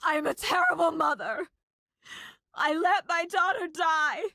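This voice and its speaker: A woman playing a serious, analytical investigator and mother in a video game, speaking in a measured, pensive, terse way with a husky voice. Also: very high-pitched, lively intonation, sharp tone, dry recording, full character voice. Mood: anguished. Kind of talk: dramatic game dialogue, introspective investigation lines.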